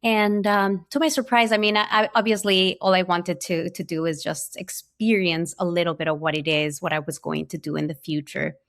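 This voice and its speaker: A woman's voice: on the low side, little pitch movement, moderate pace, few pauses, a bit bright. A woman with an Ecuadorian Spanish accent, a physician scientist telling her story in an interview, earnest and full of small restarts. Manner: earnest, many fillers and restarts. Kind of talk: personal storytelling in conversation.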